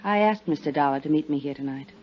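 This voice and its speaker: A woman's voice: sits low, quick pace, slight background noise, close to an everyday voice. A woman playing a polite, guarded young captain's daughter in 1950s radio drama style. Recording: dull 1950s radio recording.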